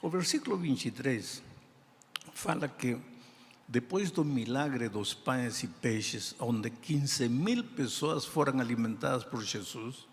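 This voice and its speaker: An older man with a non-native accent in Portuguese, preaching a sermon in slow, deliberate phrases with emphatic pauses. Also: mid-range voice, vocal fry. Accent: non-native speaker of Portuguese. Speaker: pastor. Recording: reverberant hall sound.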